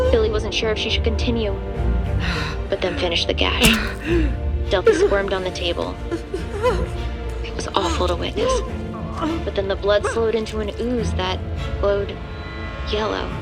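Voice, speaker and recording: A woman's voice: medium pitch, normal speed, creaky, pauses often. Woman narrating horror over music. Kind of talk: scripted horror drama narration. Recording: music playing underneath.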